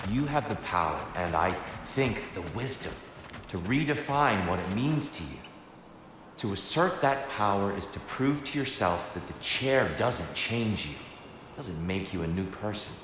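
Wise god in echoing reverb. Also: male voice, fairly low pitch, animated, slight background noise. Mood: encouraging. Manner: measured, formal, thoughtful. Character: calm, wise, courteous war god and counsellor. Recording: long echoing reverb under loud game music.

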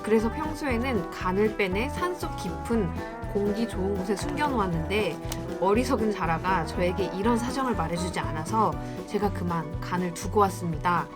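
Storybook rabbit read by a woman, crafty polite bluffer, medium pitch. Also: moderate pace, over loud music, lightly acted. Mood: glib pleading. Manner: polite, glib storybook pleading. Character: quick-witted rabbit bluffing politely to save itself.

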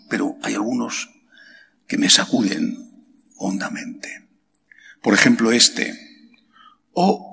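Middle-aged priest, unhurried homily with gravelly edge, large chapel reverb, faint hiss. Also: male voice, a bit bright. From Spain. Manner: slow and emphatic, rhetorical questions, pitch leaps on key words. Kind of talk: sermon. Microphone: stand mic at lectern. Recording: long hall reverb, faint background hiss.

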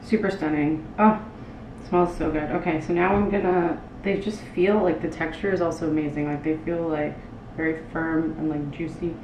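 A woman with a fairly low voice talks through her cooking in a loose, halting, casual way. She is some distance from the mic, so the kitchen's echo comes through clearly around her words. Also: steady tone. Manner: loose, halting chat with frequent "like". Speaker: cooking show host. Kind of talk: casual cooking demonstration, talking while working. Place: small indoor kitchen with tiled floor. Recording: roomy, distant mic with loud room noise.

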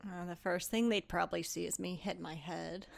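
A woman's voice: lowish voice, fairly even intonation, normal speed, vocal fry. A woman playing a tabletop game, describing her character in the first person.

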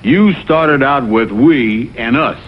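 A man voicing a fat, dim, good-natured animal sidekick in a vintage TV cartoon, folksy and slow-witted. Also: mid-range voice, lightly acted. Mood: doubtful. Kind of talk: 1960s TV cartoon voice acting. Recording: dull vintage TV audio, faint noise.

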